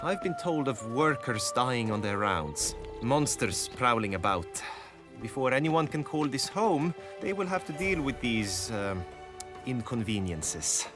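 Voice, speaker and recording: An actor playing a courtly official in a fantasy video game, formal and mannered in speech. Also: male voice, medium pitch, sing-song, unhurried, music in the background. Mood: formal, delicate.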